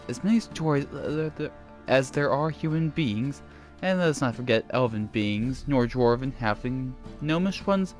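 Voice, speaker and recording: A man with a mild British-style accent, chatting in a rambling, self-conscious way as he plays and reads aloud. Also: mid-range voice. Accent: mild British-style accent picked up from TV. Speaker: let's play gamer. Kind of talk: casual gameplay commentary and reading aloud. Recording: faint game music behind.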